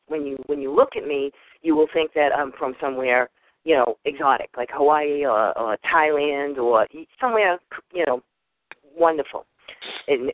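A woman's voice: low-pitched, no room sound. Woman, Boston accent, chatty guest, tinny phone sound, dry. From United States. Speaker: guest caller. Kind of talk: casual call-in chat.

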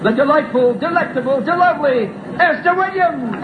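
A man's voice: extremely high pitch, animated, normal speed, narrow, tinny audio, very noisy. A man announcing a 1950s live television variety show in an old broadcast recording. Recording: echoey 1950s broadcast, loud music and crowd. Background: loud band music and crowd.

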